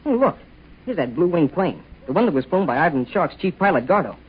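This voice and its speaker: An actor playing an eager, bashful American high-school boy with an American accent in a 1940s radio adventure serial. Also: sing-song, brisk, husky. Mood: excited. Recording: muffled old radio recording, faint crowd.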